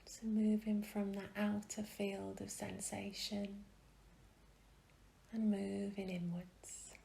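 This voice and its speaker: A woman gently guiding a meditation in a soft, breathy, often near-whispered voice, soothing and unhurried, with long pauses. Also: medium pitch, level tone. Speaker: meditation guide. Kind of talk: guided meditation.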